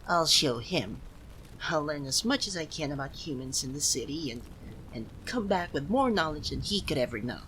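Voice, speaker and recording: A man voicing a proud, easily flustered young cat-eared son of a nature deity in an ASMR roleplay, speaking haltingly to the listener. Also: a little high, expressive, bright, forceful tone, slight background noise, rough recording. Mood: determined, musing. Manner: haughty yet flustered, halting.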